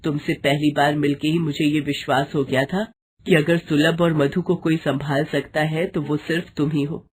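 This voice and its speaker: A woman voicing a caring, protective older mother-in-law, soothing and gently commanding, in a moral story. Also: low-pitched, level tone, normal speed, slightly rough. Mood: reassuring.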